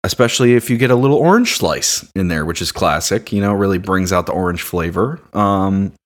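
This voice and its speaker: A man co-hosting a casual beer review podcast, joking crudely with deadpan asides. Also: low-pitched, brisk. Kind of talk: casual banter.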